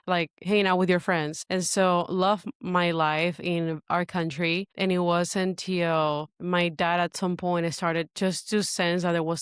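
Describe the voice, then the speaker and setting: Venezuelan-accented woman guest, native Spanish speaker, smooth voice, dry close sound, earnest storytelling. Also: fairly low pitch, no room sound. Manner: earnest, searching for words. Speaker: worship pastor. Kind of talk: podcast interview, personal story.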